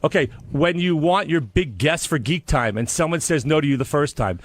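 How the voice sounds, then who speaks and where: A man's voice: on the high side, expressive, moderate pace, no room sound. A man from radio ad sales arguing defensively on a show, pressing insistently with questions. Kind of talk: heated workplace argument on a radio show. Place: radio studio.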